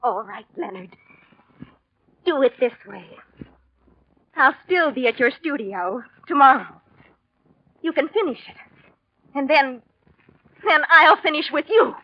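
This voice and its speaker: An actress playing a scheming, vengeful femme fatale in a theatrical 1940s radio drama style. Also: female voice, high-pitched, animated, measured pace, pauses often. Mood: vengeful, defiant. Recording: noisy, dull 1940s radio recording with clicks.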